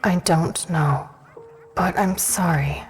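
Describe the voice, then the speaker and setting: Slow, low-voiced stern dragon-blooded warrior woman. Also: steady tone, faint music behind. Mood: apologetic, softened. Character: stern, guarded female warrior of an ancient dragon-blooded race. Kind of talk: dark fantasy audio drama acting.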